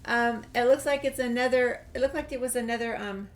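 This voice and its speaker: A woman's voice: a little high, fairly quick. A woman giving casual video game commentary, chatty and musing to herself as she plays. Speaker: gamer, channel host. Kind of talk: casual let's play commentary.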